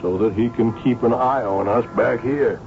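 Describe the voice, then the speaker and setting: An actor playing a seasoned, shrewd police inspector who tells his case in a measured, wry way in a radio drama. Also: mid-range voice, soft background music, natural voice. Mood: watchful, decisive. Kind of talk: radio drama acting with first-person narration. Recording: dull old radio broadcast.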